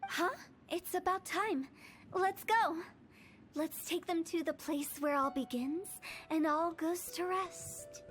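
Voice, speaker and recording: A woman's voice: very high-pitched, takes it slowly, a bit bright, a little hiss or hum, exaggerated voice. A woman voicing a playful, mischievous young funeral parlor director who tells old tales in a game. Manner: teasing, then solemn storytelling. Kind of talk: game cutscene voice acting. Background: game music.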